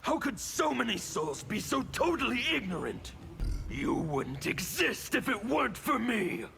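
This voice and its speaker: An actor playing a fanatical, bitter anarchist warlord in a video game, with a gravelly voice and a theatrical, sneering delivery. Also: male voice, extremely high pitch, very animated, a little slow, music in the background, full character voice. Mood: menacing. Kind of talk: video game villain dialogue.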